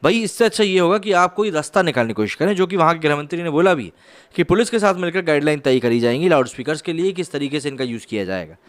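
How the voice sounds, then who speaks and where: A man's voice: fairly high pitch, lively intonation, fast talker. A man presenting online news commentary in an explanatory way, mixing read reports with conversational asides.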